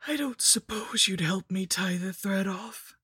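A woman voicing a cruel undead female lich sorceress, rasping and mocking, sliding into breathy whispers. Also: medium pitch, measured pace, sharp tone, no echo, studio quality, voice pushed up, exaggerated voice. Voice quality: rasping, cackling.

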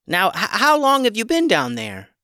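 A man's voice: sits high, wildly swooping pitch, moderate pace, pushed and bright, dry recording, pitched above the performer's own voice, exaggerated voice. A man voicing an awkward talking male chicken who makes dry jokes, in a storybook reading.

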